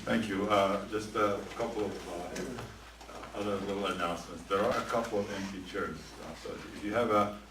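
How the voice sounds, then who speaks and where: A man making announcements and introducing a speaker at a museum event, halting with many fillers. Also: fairly low pitch, steady tone. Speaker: event emcee. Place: museum event room with audience. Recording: echoey room from far off, noisy.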